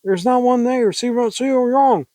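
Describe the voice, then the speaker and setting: A man's voice: very high-pitched, unhurried, dark tone, dry recording, voice pushed up. A man voicing a frightened, defiant woman in a dramatic reading, breathless, exclaiming and insistent. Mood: alarmed, demanding. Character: frightened, hysterical, defiant woman alone at night.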